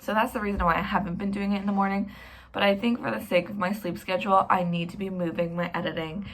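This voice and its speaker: A woman's voice: mid-range voice, fairly even intonation, fast, rough recording. A young woman from Canada talks straight to her viewers in a loose, rambling vlog. She pokes fun at herself as she goes, in an easygoing, conversational voice. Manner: chatty and rambling, self-mocking. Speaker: vlogger. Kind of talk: casual vlog talk to camera.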